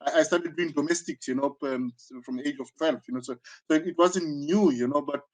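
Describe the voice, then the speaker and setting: A man of sixty with a southern African accent speaks in a slightly high voice. He talks reflectively, as a guest being interviewed, feeling his way through phrases with many repeats and verbal tags. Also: low-quality recording. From Zambia, born in South Africa. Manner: halting, searching delivery with frequent repetitions and 'you know'. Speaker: interview guest, karate teacher.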